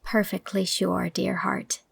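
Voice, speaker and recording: A woman voicing a kind, cheerful young schoolteacher in an audiobook, emphatic and warmly reassuring. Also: mid-range voice, monotone, slow, vocal fry, husky, no room sound, full character voice. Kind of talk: audiobook character acting.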